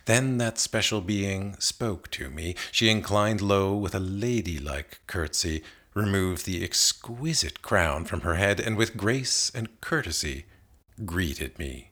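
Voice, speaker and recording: A man reading a narrative poem aloud as a scripted literary recitation, in a measured and carefully enunciated manner. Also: sits low, expressive, a bit bright. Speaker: poetry reader.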